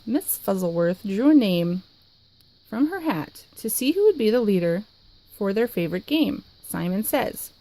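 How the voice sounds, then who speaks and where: A woman reading a children's picture book aloud in an expressive, lively way. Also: medium pitch, rough recording. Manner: expressive, lively, dramatic pauses. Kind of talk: storybook read-aloud.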